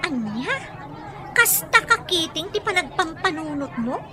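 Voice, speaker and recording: A woman's voice: very high voice, big pitch swings, a little slow, crowd noise, background noise, exaggerated voice. A woman acting a frank, concerned friend who questions and admonishes in a radio drama. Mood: questioning.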